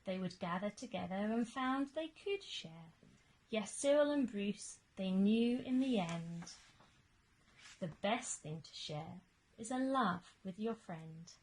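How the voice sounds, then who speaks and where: A woman teacher reading a rhyming picture book aloud to children with measured, expressive, dramatic emphasis. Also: mid-range voice, rough recording. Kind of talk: expressive children's storytime narration of rhyming verse.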